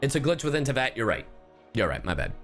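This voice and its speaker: Very animated male game streamer. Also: mid-range voice, quick pace, faint music behind. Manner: animated, exasperated rambling, swears freely. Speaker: livestreamer. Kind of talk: casual game stream commentary.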